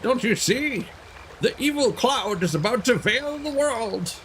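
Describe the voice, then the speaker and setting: A man's voice: extremely high pitch, animated, measured pace, fairly bright tone, a little hiss or hum, pitched above the performer's own voice, heavily acted. Man putting on a stubborn, dramatic old grandpa. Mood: stubborn, alarmed. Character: stubborn, doom-crying grandpa in a video game.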